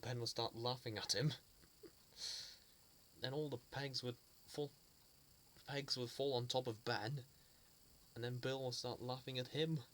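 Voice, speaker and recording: An earnest man talks at a middle pitch, stopping and restarting his sentences often and repeating words as he searches for them. His tone is fond and sincere throughout. A faint hiss sits quietly behind his voice. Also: fairly even intonation, unhurried, pauses often. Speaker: fan sharing memories. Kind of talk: casual spoken reminiscence.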